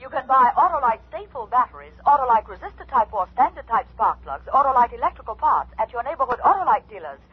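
A man voicing a cheerful character who pitches car parts in a 1950s radio commercial. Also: sing-song, quick pace, few pauses, breathy edge, highs cut off, full character voice. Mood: cheerful selling.

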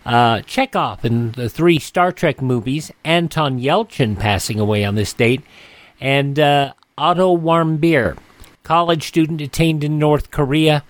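American man, radio host, fairly high voice, dry close sound, announcer-like history readout, occasional hesitations. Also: no echo. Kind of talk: hosting a classic radio program, reading on-this-day history.

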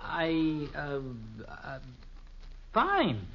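An actor playing a polite, awkward, bewildered teenage boyfriend in a 1950s radio sitcom, speaking haltingly. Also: male voice, on the high side, wildly swooping pitch, gravelly, full character voice. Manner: halting, stammering. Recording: muffled, noisy old radio recording.

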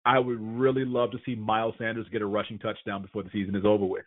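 A male sports podcast host chatting casually about the week's games. His voice sounds thin and phone-like, with no room echo at all. He runs through injury reports and team rankings in a relaxed way. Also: on the low side, fast talker. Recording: tinny phone-like dry sound.

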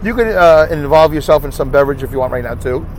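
A man's voice: fairly high pitch, animated, quick pace, a little mellow. A man with a Brooklyn accent hosting a rambling solo podcast while driving, chatty and self-mocking, asking himself rhetorical questions. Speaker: podcast host. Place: inside a car while driving on a highway. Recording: faint road noise in a car. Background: road and car noise.

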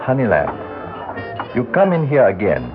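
A man's voice: mid-range voice, huge pitch swings, moderate pace, music in the background, a crowd in the background. An actor playing a slick, suspicious cafe boss, a sly and threatening tough guy with clipped speech in a 1960s radio drama. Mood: suspicious, menacing. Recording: narrow-band vintage 1960s radio recording.

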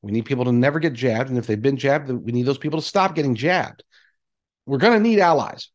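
A man's voice: mid-range voice, expressive, fairly quick, dull audio. Man in an emphatic opinion monologue. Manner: conversational, emphatic, voicing imagined replies. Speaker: podcast host.

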